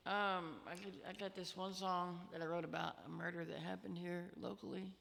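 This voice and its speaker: A woman's voice: on the low side, level tone, a little fast, vocal fry, a bit bright. A woman from Missouri, a musician being interviewed, telling a story casually with lots of fillers and restarts. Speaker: musician guest. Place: reception hall. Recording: reverberant hall.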